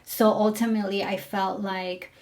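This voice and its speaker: A woman's voice: mid-range voice, little pitch movement, normal speed, a little mellow. A young woman from Mexico talking candidly to camera, frank and full of fillers, about her time as a driver. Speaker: former rideshare driver. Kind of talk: casual storytelling to camera.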